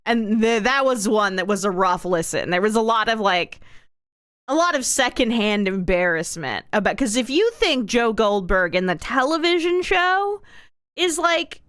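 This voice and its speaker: A woman's voice: fairly high pitch, expressive, normal speed, a bit bright, smooth, no echo, clean studio sound. A woman livestreamer rambling casually to her viewers in a wry way, leaning on and repeating words with exaggerated emphasis.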